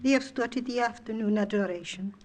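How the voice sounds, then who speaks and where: A reserved young-sounding convent sister played by a woman, with a fairly high voice. She is deferential and obedient, as in a vintage wartime radio drama. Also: a little fast, noisy background, natural voice. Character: quiet, obedient French convent nun. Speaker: actress. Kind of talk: 1940s radio drama acting. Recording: vintage 1940s radio recording.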